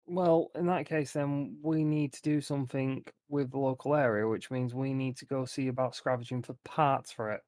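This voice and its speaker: Mid-pitched man, dry close recording. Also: steady tone, moderate pace, hardly any pauses, darkish tone, no room sound. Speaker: player. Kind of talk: casual tabletop game planning.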